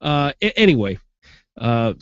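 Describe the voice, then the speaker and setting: A man's voice: mid-range voice, unhurried, no room sound. Brief: stuffed-up male sports host, conversational football recap, dry close sound, slightly dull audio. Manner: conversational, gets animated when amused. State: stuffed up from a sinus infection. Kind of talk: casual football recap commentary.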